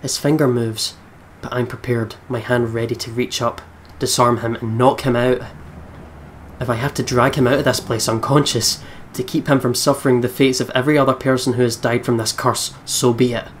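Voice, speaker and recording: A man's voice: medium pitch, level tone, moderate pace, a little hiss or hum. A young man hosting a let's play, reading visual novel narration aloud with dramatic delivery. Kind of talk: dramatic reading of game text.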